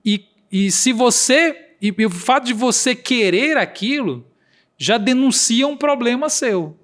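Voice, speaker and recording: A man's voice: very high-pitched, animated, a little slow, a bit bright. A man with a regional accent, a podcast guest explaining earnestly and acting out quoted dialogue. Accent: Brazilian Portuguese regional accent.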